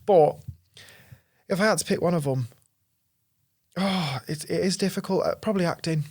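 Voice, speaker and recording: Fast-talking, creaky young man. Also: a little high, no room sound. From North Wales and Chester, England. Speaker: podcast guest, actor. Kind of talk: casual chat.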